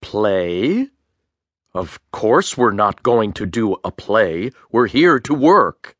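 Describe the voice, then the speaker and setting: A man's voice: fairly low pitch, sing-song, slow, a bit bright, no echo, clean studio sound, exaggerated voice. A man playing a cold, strict male schoolteacher with stern, clipped authority in a children's audiobook. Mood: scornful. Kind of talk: full-cast children's audiobook acting.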